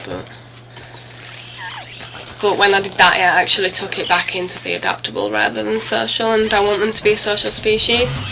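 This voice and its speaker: A woman's voice: mid-range voice, creaky, background noise. A woman getting over a cold gives casual, rambling, apologetic let's play commentary, often correcting herself as she goes. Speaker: gaming video host. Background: music.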